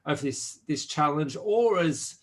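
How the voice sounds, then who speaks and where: A man's voice: a little high, expressive, slow. A man moderating an online panel, asking questions with hesitant restarts.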